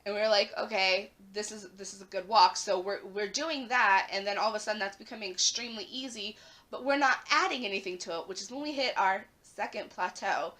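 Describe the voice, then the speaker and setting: A young woman vlogging casually to her camera at home, her voice raspy and a little hoarse after the flu, chatting with plenty of "like" fillers. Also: medium pitch, brisk, sharp tone. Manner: chatty, lots of "like" fillers, rising emphasis.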